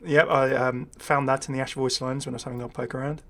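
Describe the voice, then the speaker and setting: Guy chatting through game stuff, enthusiastic, casual, mid-pitched voice. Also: little pitch movement, fast talker, fairly bright tone. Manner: chatty, enthusiastic, readily amused. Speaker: YouTube gaming creator. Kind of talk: casual game update commentary.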